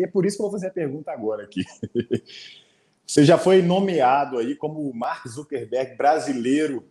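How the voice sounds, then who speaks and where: A Brazilian man asks his questions casually and warmly, in a mellow, rounded voice. The room around him echoes. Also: fairly high pitch. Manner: friendly, laughing, informal. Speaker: interviewer.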